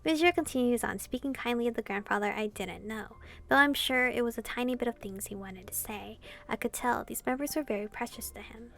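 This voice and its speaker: A woman's voice: a little high, fairly quick, creaky, few pauses. A woman reading a visual novel aloud expressively and commenting casually as she plays. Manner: animated reading, reacting informally to the story.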